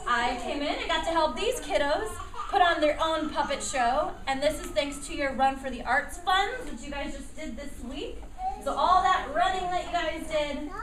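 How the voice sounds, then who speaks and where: High-voiced woman storyteller in an echoey room. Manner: animated, coaching and prompting the children. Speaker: puppet theater teacher narrating children's show. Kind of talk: live storytelling to children. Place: echoey room at a school puppet show. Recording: echoey, distant mic, noisy room.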